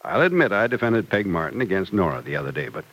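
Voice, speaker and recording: A man's voice: sits low, sing-song, quick pace, fairly bright tone, slightly rough, heavily acted, poor audio. An American actor playing a wry, stubborn, suspicious man of about sixty in an old-time radio serial, with folksy phrasing. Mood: foreboding. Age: older. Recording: vintage radio recording.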